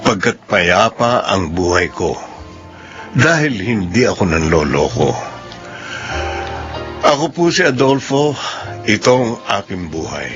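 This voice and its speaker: An honest, good-humoured Filipino husband and son in a radio drama. His pitch swings widely, over faint music on a dull broadcast recording. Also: sing-song, slow pace, long pauses. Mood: sincere, reflective. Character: Adolfo, honest, forgiving, good-humoured family man.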